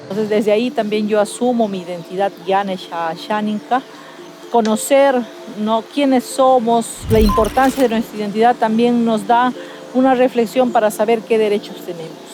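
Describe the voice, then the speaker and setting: A woman rights leader explains in a measured way, adding tag questions. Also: a little high, steady tone, music in the background. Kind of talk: documentary interview.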